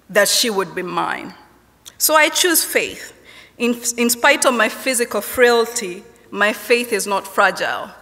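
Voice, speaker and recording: A woman in her forties with a Kenyan accent giving a personal testimony in a university chapel, reading from notes in a measured, rhythmic way with repeated refrains. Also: mid-range voice, fairly bright tone. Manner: slow, measured, rhythmic repetition. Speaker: chapel speaker, professor. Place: university chapel stage at a lectern. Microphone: lectern microphone. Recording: long chapel hall reverb.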